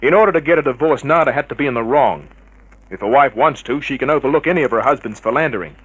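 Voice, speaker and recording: A cynical, tough private eye from an old radio serial. He, a mid-pitched voice and a clipped, hard-boiled delivery for both narration and confrontation. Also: fast talker, fairly bright tone, breathy edge, muffled recording. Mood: confrontational. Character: tough, cynical male private investigator narrating his case. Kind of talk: 1950s radio crime drama narration and dialogue.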